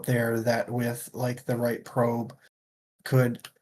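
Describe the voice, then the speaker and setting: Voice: medium pitch, monotone, takes it slowly, darkish tone, slight background noise. Casual book podcaster, wry and chatty. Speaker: book discussion show host. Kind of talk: casual chat, book discussion.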